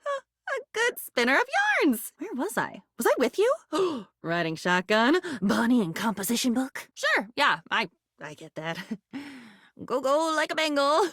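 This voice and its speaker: A living diary from a video game, young-sounding and wistful yet excitable. The voice is high and leaps around expressively, rambling in theatrical, neurotic swings. It is recorded close and dry. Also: female voice, measured pace, no room sound, exaggerated voice. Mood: scattered, playful. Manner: theatrical, rambling, swinging from hushed wonder to giddy exclamation. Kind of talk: video game character acting.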